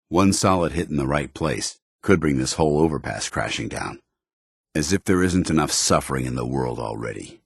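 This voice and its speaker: Game companion role: dutiful armored military officer, stern and loyal, low clean voice, formal clipped delivery, dry recording. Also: male voice, husky, no room sound, heavily acted. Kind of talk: video game companion dialogue.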